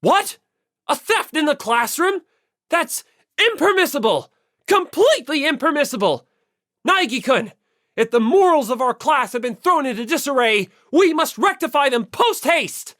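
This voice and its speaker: An audiobook reader voicing a stern, zealous high school hall monitor boy with pompous, formal, emphatic speech. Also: sing-song, normal speed, a bit bright, clean studio sound. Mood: outraged. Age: teenager. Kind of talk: audiobook character voice.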